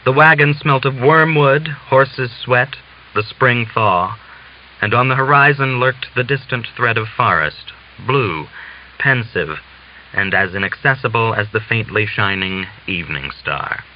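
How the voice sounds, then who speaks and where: A man reading literary narration as an audiobook narrator, in a measured, deliberate storytelling manner. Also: mid-range voice. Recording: dull hissy lo-fi recording.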